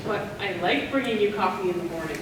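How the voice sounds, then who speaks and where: A woman's voice: on the low side, fast, close to an everyday voice. A young woman acting a touchy, self-pitying middle-aged sister in a live amateur stage comedy. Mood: eager, fussy. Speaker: actor in a school play. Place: large indoor stage in an auditorium. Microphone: distant camera microphone. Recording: echoey auditorium from far off, noisy.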